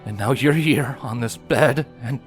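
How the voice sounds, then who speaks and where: A man voicing a gentle, protective older-brother pony, soft and earnest in his remorse. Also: medium pitch, measured pace, soft background music, voice pushed up, lightly acted. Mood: remorseful.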